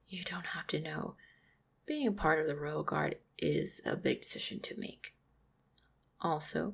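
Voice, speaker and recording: A woman playing a gentle, devoted orc hunter in a roleplay audio drama, speaking tenderly and reassuringly with a husky, creaky voice. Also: low-pitched, exaggerated voice. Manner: tender, reassuring, softly spoken. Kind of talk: roleplay audio drama, intimate monologue to the listener.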